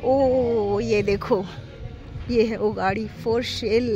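A woman's voice: a little high, steady tone, very slow, warm tone, highs cut off. Chatty woman vlogging on her phone at night. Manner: chatty, talks straight to viewers. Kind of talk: casual travel vlog chat. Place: outdoor cafe and street at night. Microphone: phone camera held at arm's length.

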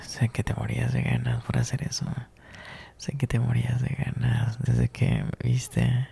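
Male ASMR roleplayer, very gravelly and breathy, soft mellow whisper, close-miked, flirty. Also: medium pitch, big pitch swings.

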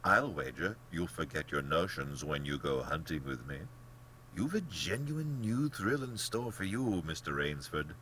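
A man's voice: a bass voice, animated, unhurried, pushed and bright, slight background noise, heavily acted. A man voicing a genteel, smug, cold-blooded general who hunts men, speaking with pompous, blandly polite theatrical emphasis in an audiobook. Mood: amused, condescending. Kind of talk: dramatic audiobook character acting.

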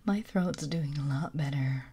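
A woman streamer whispering softly in an intimate ASMR livestream, with a raspy, deep voice and frequent giggles. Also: unhurried.

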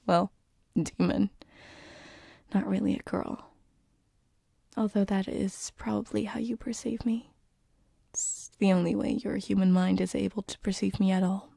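Girl acting as a lovestruck demon lady, slow breathy asmr whispering, super soft n intimate, bit creaky. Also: a little high, no room sound, clean studio sound, exaggerated voice. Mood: playful, soft. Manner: intimate, hesitant, slow and soothing. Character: tender lovestruck female sleep paralysis demon, former succubus. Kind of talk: binaural ASMR roleplay. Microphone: close binaural microphone.

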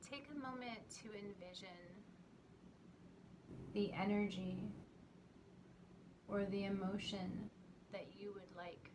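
Slow, gentle woman guiding a meditation. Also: mid-range voice, fairly even intonation. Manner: soothing, gentle, with long pauses between short phrases. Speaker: meditation guide. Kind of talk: guided meditation. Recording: clearly noisy recording with ambient sound.

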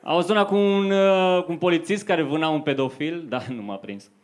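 A young man performing stand-up comedy in a conversational storytelling manner into a handheld microphone on a club stage. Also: a little high. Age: young adult. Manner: conversational storytelling to a live audience. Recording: faint noise, live club stage recording.

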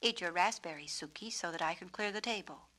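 Woman as a brisk, bright storybook mother. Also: on the low side.

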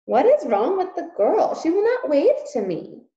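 A woman's voice: extremely high pitch, wildly swooping pitch, unhurried, warm tone, voice pushed up, full character voice. A woman voicing a haughty, spoiled young princess, in a storybook read aloud. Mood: petulant. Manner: petulant, entitled. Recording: dull, roomy recording.

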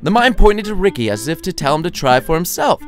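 A man's voice: high-pitched, sing-song, fairly quick, faint music behind. A man narrating a scripted story in a playful, wry, theatrical storytelling manner. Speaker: narrator and author.

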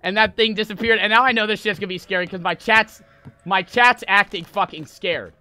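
A young man streaming a horror game, panicking, swearing and yelling in fright while reading notes aloud. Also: high voice, brisk. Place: small room at home. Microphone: desk mic on stand.

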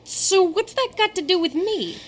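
A woman's voice: very high voice, animated, moderate pace. An actress playing a scruffy, street-wise orphan boy who talks in slangy street speech in a radio drama. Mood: streetwise.

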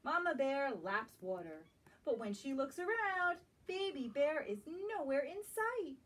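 A woman reads a picture book to young children, engaging them directly. Her voice is high and lilting, with an unhurried pace. The mic sits some way off in the room. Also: very animated. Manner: slow, questioning asides to young listeners. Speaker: library storytime reader. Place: small indoor room lined with bookshelves. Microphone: camera a few metres away. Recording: distant camera mic in a room.

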